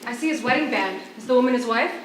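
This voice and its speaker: An actress playing a curious, compassionate hospital doctor in naturalistic stage dialogue. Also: female voice, fairly high pitch, lively intonation, fast, close to an everyday voice. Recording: echoey, noisy, distant stage recording.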